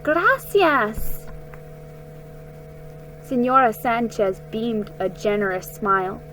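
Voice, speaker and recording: A woman giving a dramatic, expressive reading of a children's story, with a slightly halting delivery. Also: mid-range voice, rough recording. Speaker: amateur story reader. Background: music under the reading.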